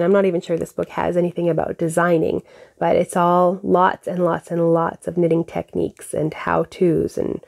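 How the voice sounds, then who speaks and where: A woman's voice: lowish voice, gravelly. A woman in her thirties with a stuffed-up nose, chatting casually to camera as host of a knitting podcast, recorded on a lapel mic at home. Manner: relaxed, chatty, frequent fillers. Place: home craft room with bookshelves.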